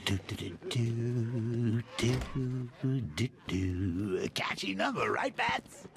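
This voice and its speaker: Manic clown villain from a game, gleeful n taunting, drawn out, swoops all over. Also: male voice, medium pitch, very animated, takes it slowly, vocal fry, noisy background, full character voice. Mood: playful.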